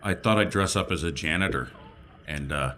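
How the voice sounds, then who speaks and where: A man's voice: rumbling low, moderate pace, pushed and bright, a little hiss or hum. A man improvising casually as a tabletop role-playing player, hesitant and a bit sheepish.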